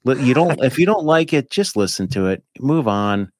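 A man in his fifties, a podcast guest, chatting casually in a rambling, animated way with lots of fillers. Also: medium pitch, moderate pace, dry recording, studio quality. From United States. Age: 50s. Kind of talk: casual chat.

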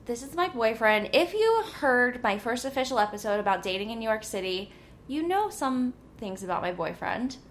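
Bubbly twenties woman hosting a podcast chat; teasing, loud on a close mic, faint background hiss. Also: a little high, very animated, moderate pace. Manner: bubbly, giggly, teasing banter. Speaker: podcast host.

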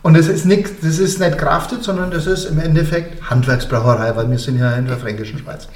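A man's voice: fairly high pitch, fairly even intonation, a little fast, darkish tone. Male interviewer in an echoey room. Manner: colloquial, interjecting. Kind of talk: casual conversational interview. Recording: roomy echo.

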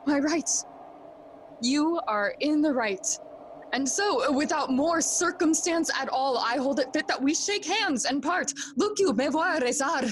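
A woman playing a grieving, feverish young prince in a Shakespearean audio drama, theatrical and deliberate. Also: high-pitched, a little hiss or hum. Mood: urgent, secretive.

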